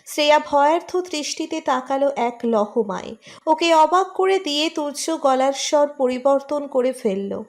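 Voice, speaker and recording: High-pitched woman narrating a romance story. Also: expressive, unhurried, soft background music.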